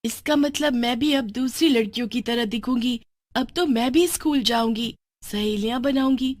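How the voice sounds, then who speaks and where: A woman voicing a young half-goat witch girl, an animated, eager daughter in a storybook cartoon. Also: a little high, moderate pace, no room sound, studio quality, pitched above the performer's own voice, lightly acted. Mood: excited. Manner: excitable, pleading, turning gleefully menacing.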